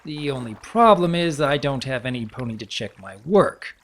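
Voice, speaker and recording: A man voicing a bookish, kindly pony baron who talks in a wordy, mock-formal, gently teasing way for a storybook reading. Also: mid-range voice, lively intonation, moderate pace, voice pushed up, lightly acted. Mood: wry, playful.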